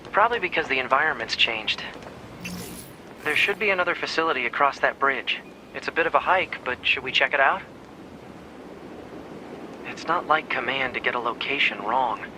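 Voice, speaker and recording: A man's voice: mid-range voice, noisy background, natural voice. An actor playing an eager, friendly young male android scout in a video game, chatty and informal. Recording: loud music behind the voice.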